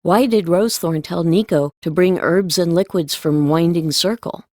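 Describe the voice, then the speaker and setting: A woman's voice: on the low side, moderate pace, darkish tone, no echo, clean studio sound. A woman reading scripted storybook narration as an audiobook narrator.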